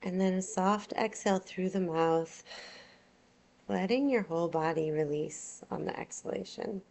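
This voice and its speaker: A woman teaching yoga nidra, guiding a relaxation meditation in a soothing, unhurried, gentle way. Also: on the low side, creaky.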